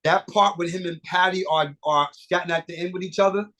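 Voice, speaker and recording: A middle-aged man with a somewhat high-pitched voice talks as an interview guest. He rambles animatedly, swears freely and restarts his sentences. The talk is loose and casual. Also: steady tone, fairly quick, pushed and bright. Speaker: guest singer and producer.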